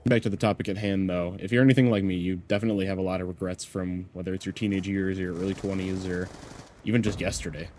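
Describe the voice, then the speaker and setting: Congested young man with a low voice. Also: level tone, fast, darkish tone, a little hiss or hum. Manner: earnest, rambling, heavy with "you know" fillers. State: sick and a little congested. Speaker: YouTuber. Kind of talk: reflective personal vlog talk.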